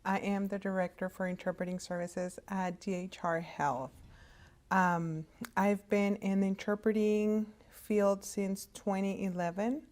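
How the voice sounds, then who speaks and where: A woman whose first language is Spanish, giving a casual career talk to students in a conversational, halting way, over a video call. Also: mid-range voice, steady tone, darkish tone. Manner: conversational, halting with frequent fillers and restarts. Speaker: hospital interpreter services director. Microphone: video call setup.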